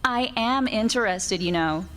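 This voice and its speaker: Woman acting a high, imperious witch wife. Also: measured pace, fairly bright tone. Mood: coy, needling. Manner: scolding, needling, coy. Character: imperious, teasing witch wife, sharp-tongued and jealous. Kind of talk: radio play acting. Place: on stage in a hall.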